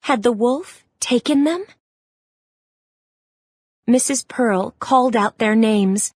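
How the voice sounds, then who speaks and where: A woman narrating a children's read-along story in a measured, expressive way. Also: on the high side, slightly rough, no echo, clean studio sound.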